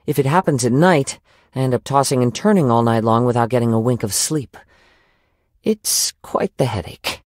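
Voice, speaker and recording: A man acts out a young wandering samurai from a video game, gentle and wistful. His voice sits at a middle pitch, with soft, measured, reflective delivery and formal wording. The sound is close and dry, with no room on it. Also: no room sound, lightly acted. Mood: serene, reflective. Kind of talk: video game character voice lines.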